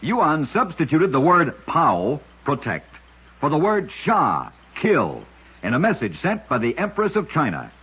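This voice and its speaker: A man hosting an old-time radio program, narrating short scripted oddities in a husky, measured, dramatic announcer's delivery. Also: fairly high pitch, huge pitch swings. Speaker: radio host and storyteller. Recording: muffled, dull old radio recording.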